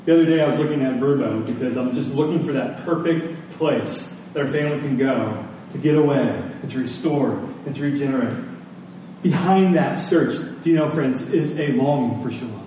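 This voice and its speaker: A man's voice: medium pitch, normal speed, warm tone. A man preaching a sermon to a congregation, using rhetorical questions and repeated phrases for emphasis. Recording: very echoey room, distant mic, faint noise.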